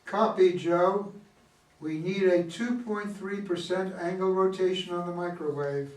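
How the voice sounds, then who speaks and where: A man's voice: sits high, steady tone, measured pace. A man playing an earnest, by-the-book mission control officer in an amateur play reading, speaking in a formal, procedural way. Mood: businesslike. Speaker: amateur reader of a play, also reading stage directions. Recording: echoey room, distant mic, background noise.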